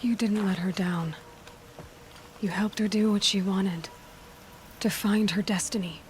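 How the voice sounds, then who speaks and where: A woman playing a young, compassionate, self-reliant warrior in a video game, speaking in a plain, earnest and gentle manner. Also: mid-range voice, fairly even intonation, a little slow, a little hiss or hum, close to an everyday voice. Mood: sympathetic. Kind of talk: scripted video game cutscene acting.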